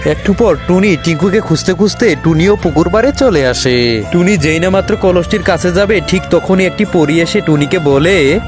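A man's voice: sits high, moderate pace. A man narrating scripted children's cartoon stories. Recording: music behind the voice.

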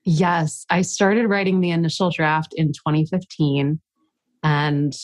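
Low-voiced woman, stuffy from a cold. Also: flat delivery, unhurried, no echo. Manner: earnest, reflective storytelling. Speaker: author and coach, podcast guest. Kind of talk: casual podcast interview.

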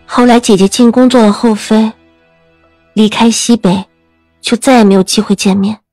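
High-voiced, strong-willed young woman, played by an actress. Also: steady tone, moderate pace, darkish tone, no room sound, faint music behind, close to an everyday voice. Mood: restrained grief. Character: young general's daughter, grieving but strong-willed. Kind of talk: period audio drama acting.

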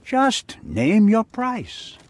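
A smug, scheming businessman from a video game. He is middle-aged and bargains with smooth theatrical flair. His husky voice sits slightly high and swings widely in pitch. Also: wildly swooping pitch, extremely slow, dry recording, heavily acted. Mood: smug bargaining. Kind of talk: video game cutscene acting.

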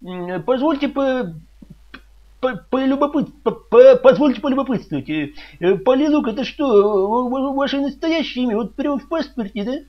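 A man's voice: very high voice, animated, moderate pace, highs cut off. A man playing a fussy, pompous, flustered radio host who stammers and hesitates in a comedy sketch.

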